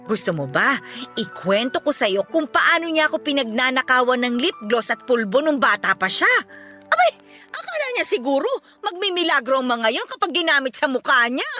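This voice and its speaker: A woman playing a sharp-tongued, bossy, gossipy aunt in a Filipino radio drama, exclaiming bluntly with a Filipino accent. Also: sits high, big pitch swings, moderate pace, faint music behind, exaggerated voice. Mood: mocking, gossipy.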